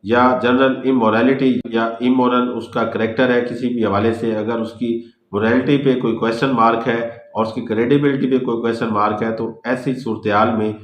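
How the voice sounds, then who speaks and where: A man with a Pakistani accent delivering a formal, scripted lecture in the manner of a law teacher. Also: medium pitch. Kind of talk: scripted legal lecture mixing English and Urdu. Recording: roomy, echoing voice recording.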